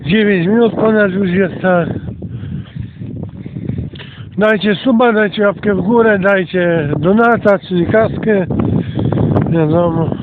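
A high-pitched man with a congested cold narrates his outdoor walk in a casual, meandering, hesitant way. The sound is thin and phone-like, with loud noise all around him. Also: slow pace, pauses often. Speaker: host and narrator of an amateur outdoor audio recording. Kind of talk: casual rambling commentary while walking. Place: outdoors, walking along a rural path.

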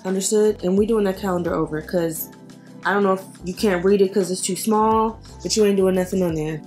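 A woman's voice: fairly low pitch, mellow. A young woman vlogging casually to a handheld camera at home, chatty and teasing, bluntly scolding her daughter at times. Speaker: mom vlogger. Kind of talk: casual vlog chat to camera. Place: home kitchen and backyard pool. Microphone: handheld camera at arm's length.

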